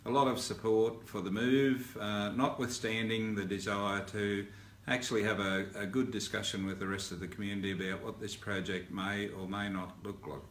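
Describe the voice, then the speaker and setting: A man's voice: on the low side, level tone, a bit bright. Older Australian man, formal mayoral update. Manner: measured, formal, with frequent "uh" fillers and restarts. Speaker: mayor giving a council update. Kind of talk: spoken video update to residents. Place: indoor office in front of a backdrop. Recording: roomy sound with faint ambient hiss.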